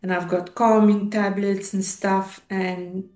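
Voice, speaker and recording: A South African woman in her fifties, giving personal testimony in an interview in a halting, reflective way, often pausing mid-sentence. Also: mid-range voice, level tone, dark tone. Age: 50s. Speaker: interview guest, police officer. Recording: roomy, echoing sound.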